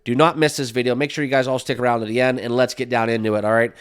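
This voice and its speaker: Male host with a slight cold, mid-pitched and smooth, upbeat and chatty, full of "right?" and "uh". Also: steady tone, very fast. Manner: upbeat, full of fillers, keeps asking "right?". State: has a cold, sniffling. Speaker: crypto YouTube host. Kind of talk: casual talk to camera.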